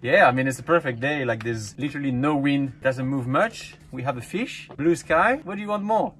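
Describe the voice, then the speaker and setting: A man's voice: mid-range voice, a little fast, fairly bright tone. A man in his thirties talking casually on a sailboat, easygoing and chuckling. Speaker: sailing vlogger. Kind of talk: casual chat. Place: outdoors on a sailboat at sea. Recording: noisy outdoor camera audio.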